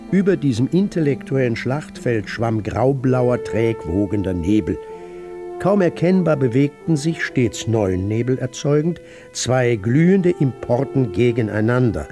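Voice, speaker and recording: Sardonic radio-play narrator, music behind. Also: male voice, medium pitch. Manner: deliberate, sardonic, florid. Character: sardonic male narrator recounting his political past. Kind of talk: literary radio drama narration.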